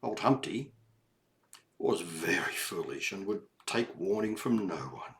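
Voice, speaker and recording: A man's voice: low voice, lively intonation, measured pace. Man reading as kind wise hen, swooping storybook delivery. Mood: grave warning. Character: kind, wise old mother hen giving earnest advice.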